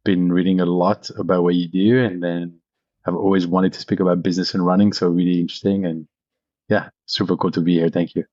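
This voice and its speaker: A man's voice: low voice, flat delivery, a little fast, darkish tone, no echo, dull audio. A man in his thirties from France, talking casually and enthusiastically as an interview guest, telling personal stories in an informal way. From France (Paris). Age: 30s. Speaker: podcast guest, venture capitalist. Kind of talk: casual conversational storytelling.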